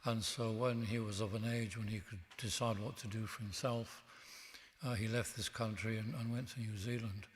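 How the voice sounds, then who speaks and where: A man speaking as an interview guest in a church, reflective and halting, with frequent restarts. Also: lowish voice, fairly even intonation, slight background noise. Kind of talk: reflective conversation. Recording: long church reverb.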